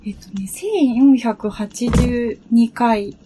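A woman chatting reflectively as a guest on a relaxed talk show, choosing her words tentatively. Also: on the high side, takes it slowly, background noise. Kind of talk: relaxed reflective casual chat.

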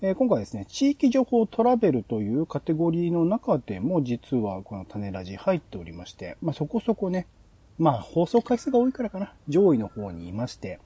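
A man hosting a podcast, casually explaining planning ideas to a co-host in an explanatory way with soft, trailing phrases. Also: a little high, wildly swooping pitch, brisk, husky, no room sound.

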